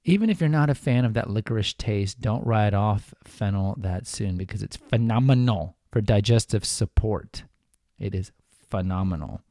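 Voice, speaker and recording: Chatty low-voiced male podcast host. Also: normal speed, mellow, no room sound, clean studio sound. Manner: chatty and informal, with fillers and self-corrections. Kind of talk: casual solo podcast talk.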